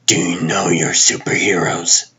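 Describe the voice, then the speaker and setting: Guarded, commanding superhero boss for a comic dub, male actor: low, husky, slow and terse. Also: flat delivery, pushed and bright, exaggerated voice. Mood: serious, secretive. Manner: terse, deliberate.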